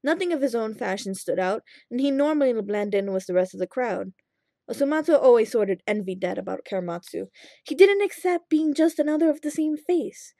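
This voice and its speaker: A woman reading fan fiction aloud as an expressive scripted narration. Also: on the high side, brisk, gravelly, no echo.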